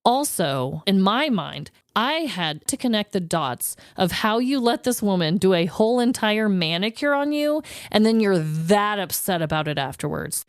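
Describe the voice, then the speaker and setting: A woman co-hosting a podcast in casual comedic banter, talking in an animated, emphatic and casually sweary way. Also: medium pitch, moderate pace, hardly any pauses, clean tone, dry recording, clean studio sound. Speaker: podcast co-host.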